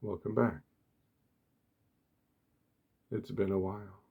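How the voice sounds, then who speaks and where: A man speaking softly and gently in short, deliberate phrases with pauses, as an ASMR host giving a meditation talk. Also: lowish voice, fairly even intonation, phone-quality sound. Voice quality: soft, hushed, breathy.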